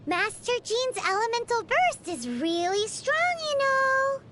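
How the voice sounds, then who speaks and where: Tiny childlike game fairy: extremely high, squeaky, swooping delivery, chirpy and cheeky, music behind. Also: female voice, takes it slowly, background noise, full character voice. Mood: insistent. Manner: speaks of self in third person, animated. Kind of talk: animated video game voice acting.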